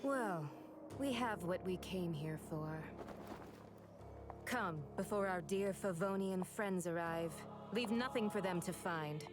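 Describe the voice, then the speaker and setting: Haughty, cold villainess over loud music. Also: female voice, lowish voice, takes it slowly. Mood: cold contempt. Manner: sneering, imperious. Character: haughty cold villainess, contemptuous Fatui harbinger. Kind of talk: video game cutscene acting.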